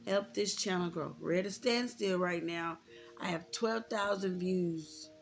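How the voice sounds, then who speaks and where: An American woman in her thirties speaks candidly to her viewers in a slightly low voice with plenty of vocal fry. She is reflective and informal, repeating phrases to drive points home. Soft guitar music plays faintly behind her. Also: measured pace. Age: 30s. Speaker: vlogger. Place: indoors, room with a closet.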